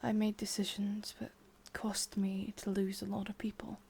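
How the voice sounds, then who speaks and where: A woman with an English accent voicing a sadistic, lovesick witch in a whispered, intimate ASMR roleplay, murmuring slowly and haltingly. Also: mid-range voice, completely flat delivery, darkish tone. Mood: wistful, melancholy. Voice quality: breathy, whispery. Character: sadistic, lovesick young witch, wistful and possessive.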